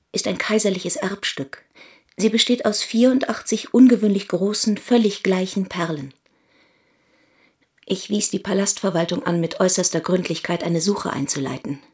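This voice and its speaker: An actress playing an imperious yet anxious princess of old China in a German radio play, speaking formally and with command. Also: female voice, on the low side, a little mellow.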